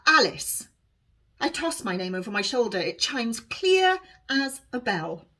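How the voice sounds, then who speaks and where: Animated woman storyteller reading aloud. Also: on the high side, a little slow. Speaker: author reading their own novel aloud. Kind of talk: expressive storybook narration for children.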